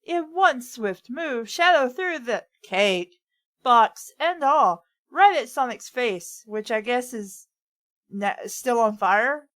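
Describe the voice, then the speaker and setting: A woman's voice: fairly high pitch, lively intonation, slow pace, a bit bright. A woman co-hosting a casual group read-along, reading fan fiction aloud and joking about it.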